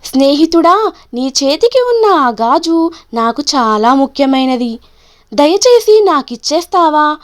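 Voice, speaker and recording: Polite little high-voiced bird voiced by a woman. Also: big pitch swings, a little slow. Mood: pleading. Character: small, polite, earnest bird in a children's storybook.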